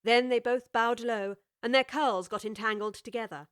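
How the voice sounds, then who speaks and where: A woman narrating a storybook with clear, expressive scripted storytelling. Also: a little high, normal speed, no room sound, studio quality.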